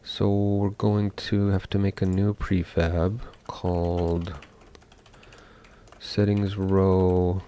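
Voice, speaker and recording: A young man from Seattle, a game developer talking casually while coding live, thinking aloud with many pauses. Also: sits low, little pitch movement, mellow, a little hiss or hum. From Seattle, USA.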